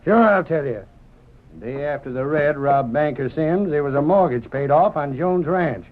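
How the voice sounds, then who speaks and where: An actor playing a suspicious, stubborn deputy with a folksy rural Western American accent in an old-time radio drama. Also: male voice, on the high side, lively intonation, moderate pace, lightly acted. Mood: indignant, folksy. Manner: folksy, blustering drawl. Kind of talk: 1930s-40s radio drama acting. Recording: muffled, narrow-band old radio recording.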